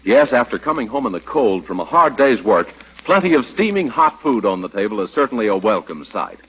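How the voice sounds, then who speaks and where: Husky, lively 1940s radio announcer man. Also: mid-range voice, brisk. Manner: polished, emphatic salesman delivery. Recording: muffled old 1940s radio recording.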